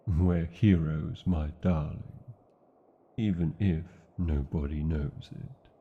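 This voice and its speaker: A man's voice: low voice, creaky, slightly rough, phone-quality sound, exaggerated voice. A British man playing a proud, tender dragon lover in a fantasy audio romance, speaking in formal, old-fashioned, theatrical phrases. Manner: formal, archaic, theatrical phrasing with tag questions. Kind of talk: fantasy ASMR roleplay, slow intimate acting.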